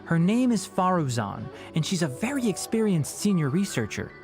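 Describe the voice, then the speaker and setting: A calm, tactful scholar from a video game, with a lively, lilting pitch. The delivery is measured, articulate and reassuring, over soft background music. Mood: explaining. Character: calm, tactful adult scholar, caring and discreet. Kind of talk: scripted video game dialogue.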